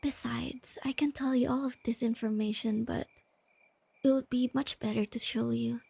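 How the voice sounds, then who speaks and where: A woman playing a shy, nerdy, sweet young girlfriend in a soft-spoken, intimate ASMR roleplay. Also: on the high side, fairly even intonation, normal speed, vocal fry, slightly rough, no echo, full character voice. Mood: affectionate, eager. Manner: gentle, intimate, enthusiastic about explaining facts.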